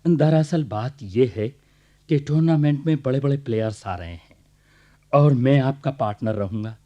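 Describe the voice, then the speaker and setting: Actor as a mellow, fussy club president. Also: male voice, medium pitch, moderate pace, muffled recording, natural voice. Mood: hesitant, curious. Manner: hesitant, deferential, peppers speech with English. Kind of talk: radio play acting.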